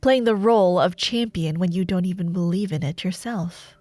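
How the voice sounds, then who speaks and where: A woman playing a taunting, imperious dark warlord sorceress in a roleplay audio drama, speaking in a theatrical, sardonic way with lingering pauses. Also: fairly low pitch, no room sound, natural voice. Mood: taunting, probing.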